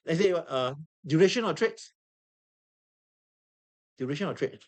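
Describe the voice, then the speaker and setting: A high-voiced man with a Singaporean English accent lecturing to a classroom of students. He sounds hoarse and worn, repeats words and keeps quizzing the room as he explains. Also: a little slow. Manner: animated, repeats himself, asks the room questions. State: hoarse, losing his voice. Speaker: trading instructor.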